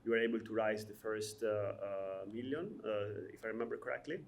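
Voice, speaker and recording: A man's voice: lowish voice, fairly even intonation, fairly quick, gravelly, slight background noise. A man, hosting a conversational interview, speaking hesitantly with many fillers and frequent laughs. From Albania. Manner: hesitant, many fillers, laughs often while telling stories. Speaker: podcast host.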